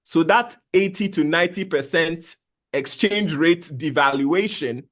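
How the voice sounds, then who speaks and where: Man from Ghana, high voice, patient plain-spoken money explainer on a video call. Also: measured pace, a bit bright. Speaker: guest finance expert. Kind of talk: explaining investing in an interview.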